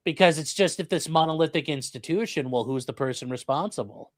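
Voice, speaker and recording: A man's voice: fairly high pitch, fairly quick, no room sound, clean studio sound. A man hosting a live stream, reading out viewer questions and giving casual legal commentary with wry, joking asides.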